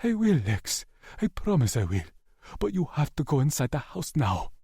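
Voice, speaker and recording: A man voicing a gentle young man who talks softly and firmly to calm a friend. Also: fairly high pitch, moderate pace, husky, no room sound, studio quality, pitched above the performer's own voice, exaggerated voice. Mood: soothing.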